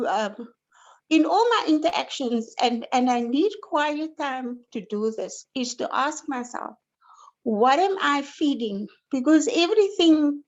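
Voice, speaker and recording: A woman giving a reflective contribution to an online discussion in a searching, self-questioning way. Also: high-pitched. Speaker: discussion participant. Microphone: video call. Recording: dull, lo-fi online call.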